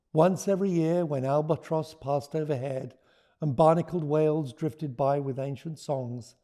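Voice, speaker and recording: A man with a soft, mellow tone reads for children in a slow, measured way. His voice sits a little high for a man, and he phrases each line deliberately, like a practised storyteller. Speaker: children's author reading his book aloud. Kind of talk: expressive storybook read-aloud with teaching notes.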